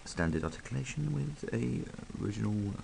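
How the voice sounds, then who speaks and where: A man with a croaky voice from hay fever, chatting casually and rambling a little as he reviews a toy. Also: on the low side, lively intonation, a little fast, darkish tone, a little hiss or hum. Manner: chatty, rambling, full of ums.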